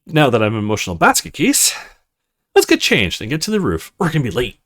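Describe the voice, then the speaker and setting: Expressive male let's player, very animated pitch. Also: fast. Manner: expressive, emotive. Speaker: gaming video host. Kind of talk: visual novel let's play, reading aloud with reactions.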